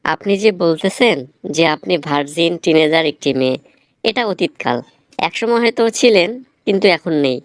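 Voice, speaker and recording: A man's voice: on the high side, expressive, moderate pace, clear, resonant tone, dry recording, natural voice. A man voicing a blunt, teasing film writer who lectures sardonically in a Bangladeshi accent, in a comic cartoon. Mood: blunt, mocking.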